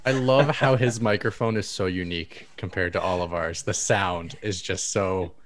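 A man in a casual group chat, playful and teasing and quick to laugh. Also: sits low, steady tone.

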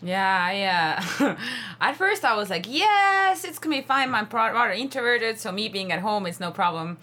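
A woman's voice: mid-range voice, sing-song, normal speed, bright, forceful tone. A woman from Estonia, an English teacher chatting casually as an interview guest. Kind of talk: casual chat.

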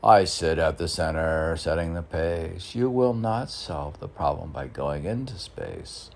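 Deep-voiced, gravelly man reciting his poetry. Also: unhurried. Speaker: poet. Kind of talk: reading own poetry with off-the-cuff asides. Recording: faint background noise.

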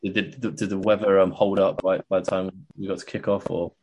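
Man, low voice, hesitant casual chat, lots of pauses. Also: flat delivery, darkish tone, slightly rough, low-quality recording. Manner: hesitant, many ums and restarts, chuckles often. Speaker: podcast co-host.

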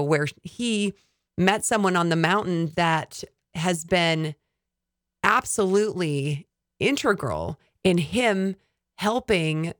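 A woman's voice: fairly low pitch, no room sound, clean studio sound. A woman hosting a podcast, speaking enthusiastically and steering the conversation with questions. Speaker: podcast host. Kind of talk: podcast interview.

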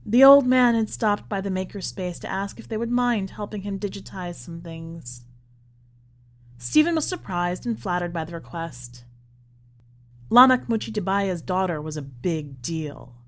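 A woman reading literary fiction aloud as scripted narration, with measured, deliberate phrasing. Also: mid-range voice, lively intonation. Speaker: podcast host reading a short story.

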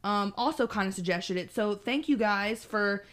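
A woman's voice: mid-range voice, normal speed, fairly bright tone. A woman in her twenties chatting casually as a podcast co-host, agreeable and a little hesitant. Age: 20s. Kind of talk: casual chat.

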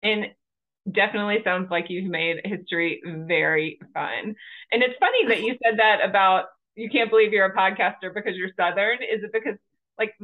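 A woman's voice: mid-range voice, moderate pace. Podcast host, woman, friendly and easy to laugh, heard over a thin phone-like line. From Georgia. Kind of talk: casual podcast interview. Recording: tinny remote call line.

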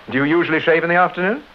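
An actor playing a suave, self-assured British gentleman detective, urbane and decisive, in a radio drama. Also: fairly high pitch, a little hiss or hum, lightly acted. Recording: dull old radio drama recording.

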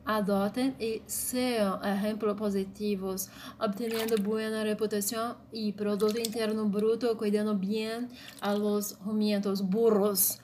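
Brazilian woman, Portuguese-accented, flat slow read, noisy recording. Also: mid-range voice. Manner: slow, deliberate reading in short phrases. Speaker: blogger. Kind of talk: read-aloud commentary.

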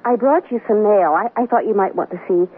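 A woman's voice: mid-range voice, brisk, natural voice. Woman doing scatterbrained young secretary, eager n flustered, old radio play, tinny noisy sound. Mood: pleased, eager. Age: young adult. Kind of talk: radio comedy drama acting.

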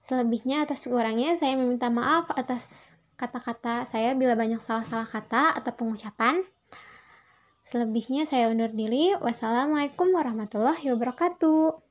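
A young woman with a fairly high voice speaks as a student presenting to classmates. Her delivery is polite and formal, and she often restates a phrase in slightly different words. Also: normal speed. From Indonesia. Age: young adult. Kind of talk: prepared spoken class response.

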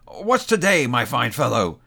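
A man voicing an excitable old man in a storybook, with fervent, exclamatory, theatrical delivery and a voice that breaks with emotion. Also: mid-range voice, fairly quick. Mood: excited delight.

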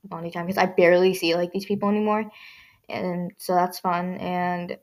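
A teenage girl chatting on her solo podcast, voice hoarse and scratchy. She rambles with lots of fillers and restarts, in a slightly low register for her age. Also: flat delivery, moderate pace, a little mellow. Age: teens. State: hoarse, strained voice. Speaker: podcast host. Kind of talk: casual solo podcast chat.

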